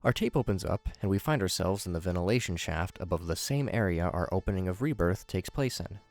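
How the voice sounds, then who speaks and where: A man's voice: low voice, a little mellow. A man narrating a scripted recap with commentary, adding wry, joking asides.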